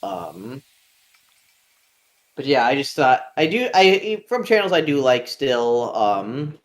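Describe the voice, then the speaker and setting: A man's voice: medium pitch, very animated, takes it slowly. A young man with a nasal voice, chatting casually on a live stream, rambling with many ums and restarts. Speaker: stream co-host.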